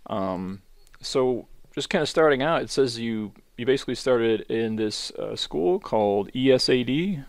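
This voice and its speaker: Gravelly, dry-sounding male host. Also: medium pitch, animated, normal speed, dry recording. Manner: rambling, chatty, lots of fillers. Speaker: podcast host. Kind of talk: casual interview hosting.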